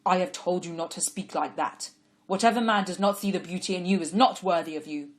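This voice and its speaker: Woman playing a dignified older father, measured and kind, low voice. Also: lightly acted. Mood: gentle.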